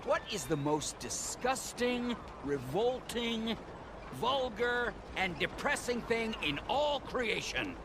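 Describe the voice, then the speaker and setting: High, pompous soapbox zealot. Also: male voice, sing-song, slow pace, noisy background, full character voice. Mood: fervent, preachy. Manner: pompous, theatrical soapbox oratory. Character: zealous bigoted male street preacher handing out pamphlets, indignant. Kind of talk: video game acting.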